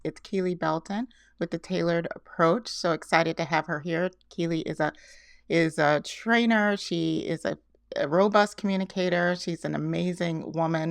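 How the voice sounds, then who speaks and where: A woman's voice: fairly low pitch, vocal fry, no room sound. A woman hosting a podcast, enthusiastic and encouraging as she interviews a guest, stammering a little now and then. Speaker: podcast host. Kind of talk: conversational interview.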